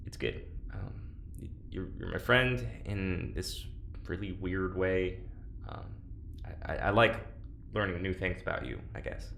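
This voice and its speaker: A man playing an awkward, gentle and candid confidant in an audio drama, with a hesitant, stammering delivery. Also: deep voice, measured pace, creaky, echoey room, a little hiss or hum, full character voice. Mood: gentle, halting.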